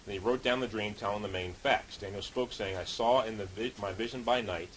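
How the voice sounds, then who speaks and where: A man's voice: fairly low pitch, brisk, fairly bright tone, rough recording. A man preaching as a street preacher, reading Bible passages and explaining them in an informal teaching way. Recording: hissy background noise.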